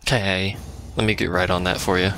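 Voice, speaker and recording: A man's voice: low-pitched, little pitch movement, brisk, shouting, over music. A man giving casual, dry and sarcastic commentary on a video game he is playing. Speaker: let's play commentator.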